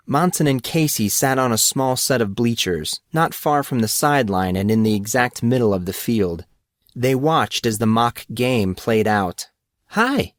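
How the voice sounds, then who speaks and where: A male narrator reads a scripted story at a medium pitch. His voice is recorded very dry, with little trace of room. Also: dry recording.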